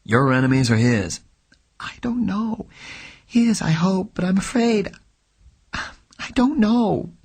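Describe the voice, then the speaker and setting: A man reading an audiobook, voicing a frightened, secretive young woman who pleads in a breathy, trembling way. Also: a little high, fairly even intonation, brisk, a little mellow, voice pushed up.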